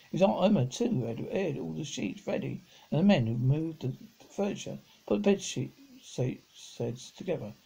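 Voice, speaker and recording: A middle-aged man reads a children's story aloud, stumbling over words and rereading them, in a husky, gravelly voice. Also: on the high side, lively intonation, moderate pace, warm tone, poor audio. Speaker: amateur audiobook reader. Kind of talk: reading a children's novel aloud. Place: living room at home, on a sofa.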